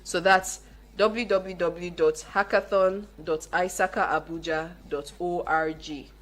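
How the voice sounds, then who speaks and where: Emphatic, lower-pitched Nigerian woman. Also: steady tone, measured pace. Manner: emphatic, repeats points. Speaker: guest, competition organiser. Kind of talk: persuasive radio interview talk. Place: radio studio.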